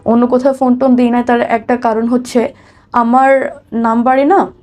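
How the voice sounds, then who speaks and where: A woman's voice: on the high side, little pitch movement, normal speed, a little mellow. A woman with a Bangladeshi accent giving personal testimony as an interview guest, repeating phrases and addressing the host respectfully. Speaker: guest telling her life story.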